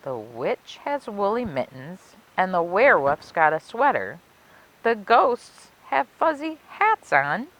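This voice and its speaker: A woman reading a children's picture book aloud as a library story time host, with expressive, deliberate storytelling. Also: mid-range voice, rough recording.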